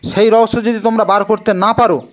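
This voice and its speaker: Man voicing an imperious, high-pitched cartoon king. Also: steady tone, brisk, a little hiss or hum, natural voice. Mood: suspicious, threatening. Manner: commanding, questioning. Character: imperious older king, suspicious and threatening. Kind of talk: Bengali fairy-tale cartoon acting.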